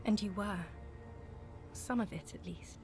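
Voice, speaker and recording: An actress voicing a haunting former lover in a video game, speaking softly and breathily. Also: female voice, mid-range voice, measured pace, soft background music. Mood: tender. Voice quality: breathy, whispering. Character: lost former lover, wistful, haunting woman.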